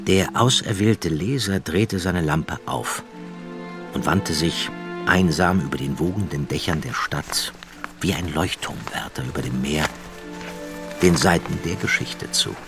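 An actor playing a solemn narrator who frames a tale in literary scripted narration. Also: male voice, very deep voice, unhurried, music in the background.

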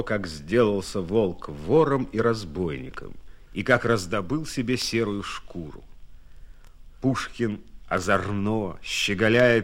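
A man reading scripted literary narration for a radio drama, measured and expressive, with deliberate pauses. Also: lowish voice.

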